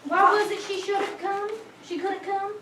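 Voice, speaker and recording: A woman's voice: extremely high pitch, little pitch movement, normal speed. A woman playing a chipper, fussing female friend at a cookout in a staged play reading. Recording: echoey room, distant mic, noisy hiss.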